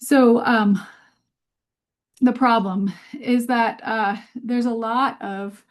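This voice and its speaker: A woman's voice: a little high, fairly even intonation, slow. A woman presenting a scientific webinar over a video call in an informal, conversational way, often hesitant as she thinks aloud.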